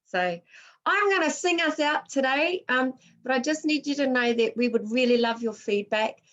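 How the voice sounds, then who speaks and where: A woman's voice: sits high, expressive, moderate pace, fairly bright tone, lo-fi sound. A woman who grew up in Australia, leading a workshop and answering questions on a video call, speaking in a reflective, personal way with Māori words mixed into her English. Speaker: workshop facilitator.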